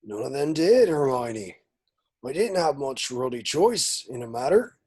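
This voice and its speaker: A man playing a gruff, soft-hearted half-giant gamekeeper in a script read, folksy and protective. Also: medium pitch, big pitch swings, unhurried. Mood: gruff, earnest. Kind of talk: table read of a film script.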